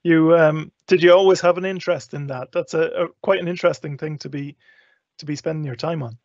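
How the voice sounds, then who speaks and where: Higher-voiced Irish male host with creaky edge. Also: fairly even intonation, a little fast, darkish tone, dry recording. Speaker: show host. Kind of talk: hosting a live online show. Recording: dull, close lo-fi online audio.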